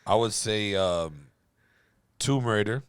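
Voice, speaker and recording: A man's voice: lowish voice, animated, slow pace, clean tone, no echo, clean studio sound. A man hosting a podcast, talking in casual, slangy chat with friends. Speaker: podcast host.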